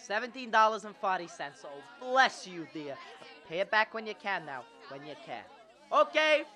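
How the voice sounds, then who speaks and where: A teenage girl acting an earnest, excitable young family man in a radio drama, with a halting, folksy manner. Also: mid-range voice, some chatter behind. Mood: generous, eager. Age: teens. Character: earnest, excitable young family man running small-town loan office. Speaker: student radio drama actor.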